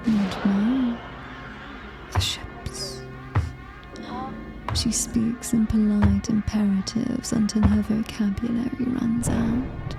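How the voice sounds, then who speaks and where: A British woman playing a coldly superior, menacing alien-human hybrid in a whispered ASMR horror roleplay, breathy, deliberate and taunting. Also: a little high. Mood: sinister, mocking.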